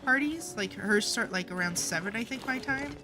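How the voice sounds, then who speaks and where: A tired woman chatting casually as she livestreams a game, with a slightly stuffed-up nasal voice and a halting, stammering delivery that often repeats words. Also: a little high, expressive, bright, forceful tone. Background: music.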